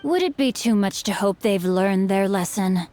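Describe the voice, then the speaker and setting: A man voicing a young male swordsman who speaks in a formal, measured, earnest way. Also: high-pitched, a little mellow, a little hiss or hum, natural voice. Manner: formal, stiff, measured speech.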